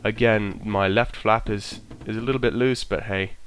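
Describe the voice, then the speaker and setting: A man with a bit of a cold, his voice croaky, casually talking himself through an aircraft checklist and trailing off as he goes. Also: lowish voice, fairly even intonation, moderate pace, a bit bright, slight background noise. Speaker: flight sim streamer. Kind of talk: casual narrated walkthrough.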